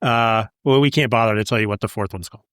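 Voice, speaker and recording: A man co-hosting a podcast, discussing comic books casually with an expressive, wry delivery. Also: on the low side, fast, clean tone, no echo. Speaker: podcast co-host.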